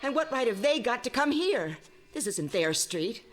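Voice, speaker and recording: An actress playing a resentful, territorial suburban neighbor in a radio drama. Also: female voice, a little high, big pitch swings, normal speed, faint music behind.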